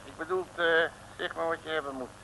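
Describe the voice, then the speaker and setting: An actor playing a brash, cheeky detective with colloquial, slangy bluster in a 1960s radio comedy. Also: male voice, on the high side, fairly even intonation, fast talker, sharp tone. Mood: brash, impatient. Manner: colloquial slangy bluster, calls himself uncle. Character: brash, cheeky, big-spending male detective, streetwise and jovial. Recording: noisy old 1960s radio recording.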